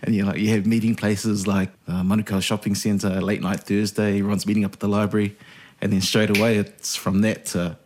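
A man's voice: lowish voice, little pitch movement, a little fast, a little hiss or hum. A man, a podcast guest telling stories in a laid-back, self-deprecating way. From New Zealand. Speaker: podcast guest, radio host. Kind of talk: casual interview chat.

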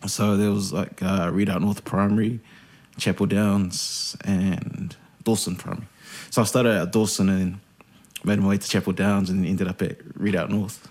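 Laid-back low-voiced New man. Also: little pitch movement, normal speed, a little mellow. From New Zealand. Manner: laid-back, self-deprecating storyteller. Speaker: podcast guest, radio host. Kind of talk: casual interview chat.

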